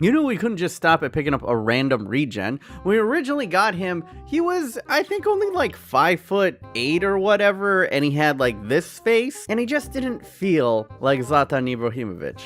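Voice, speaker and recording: A man hosting a gaming video, giving casual, excitable commentary with lots of jokes and self-mockery. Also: sits high. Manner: excitable, jokey, self-mocking.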